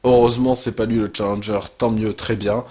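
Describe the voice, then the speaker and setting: A young man with a head cold talks in a low, husky voice. He chats loosely and hesitantly, filling pauses with sighs and fillers. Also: little pitch movement, dark tone. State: has a cold, stuffy. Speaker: wrestling channel host. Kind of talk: casual commentary monologue.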